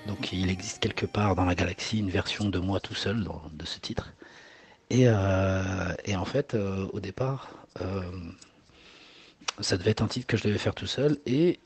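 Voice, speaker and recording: A man's voice: low voice, normal speed, husky, no echo. A man in his forties, a singer whose first language is Arabic, answering interview questions hesitantly with many fillers and repeated words. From Morocco.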